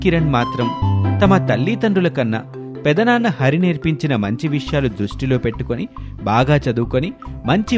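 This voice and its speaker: A man giving scripted narration as a storyteller, with music behind his voice. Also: mid-range voice.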